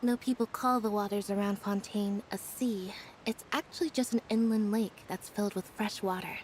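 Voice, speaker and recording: A woman voicing a quiet, melancholy young woman with a flat, soft delivery that drops to a whisper at times. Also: medium pitch, normal speed, a little hiss or hum, close to an everyday voice, poor audio. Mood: wistful.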